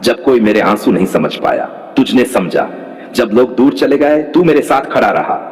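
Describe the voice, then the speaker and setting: A man's voice: mid-range voice, animated, moderate pace, darkish tone. A man leads a devotional prayer in a mid-range voice, pressing each line in fervent, rhythmic repetitions. His words ring out with a long, trailing reverb, as if spoken in a large hall. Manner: fervent, rhythmic repeated declarations. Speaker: prayer leader.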